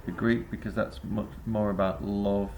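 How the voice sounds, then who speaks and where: A man's voice: on the low side, level tone, normal speed, a little mellow, a little hiss or hum. A man giving a reflective spoken essay to camera as a vlogger, rambling and hesitant with many filler sounds. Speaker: vlogger and busking singer.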